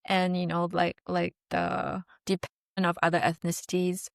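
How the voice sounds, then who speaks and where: A woman's voice: lowish voice, completely flat delivery, normal speed, vocal fry, no room sound, clean studio sound. A woman from Singapore chatting casually as an interview guest, talking in an informal, chatty way. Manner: chatty, informal, frequent "like" and "you know". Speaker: interview guest, fantasy novelist. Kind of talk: casual chat.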